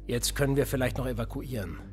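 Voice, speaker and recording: An actor playing a cold, sardonic police interrogator in a radio play, calm and mocking with a menacing edge and a husky voice. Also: male voice, medium pitch, fast, soft background music, close to an everyday voice. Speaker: police officer.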